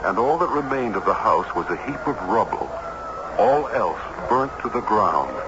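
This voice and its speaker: A male actor plays an earnest traveler in a vintage radio drama, telling his tale in literary, dramatic phrases. His voice is low and highly expressive, under heavy noise on a muffled old recording. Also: moderate pace, close to an everyday voice. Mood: somber narrating.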